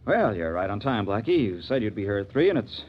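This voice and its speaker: A man's voice: lowish voice, sing-song, fairly quick, highs cut off, a little hiss or hum. An actor playing a nervous, fretful male pawnbroker in a 1940s radio drama, hesitant and apologetic in manner.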